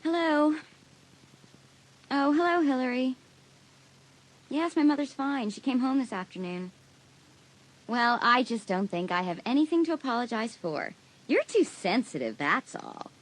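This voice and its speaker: A woman's voice: high voice, expressive, measured pace, pauses often, rough recording. A woman playing a breezy, self-centred, unapologetic teenage girl in a dramatised audiobook. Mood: breezy, defensive.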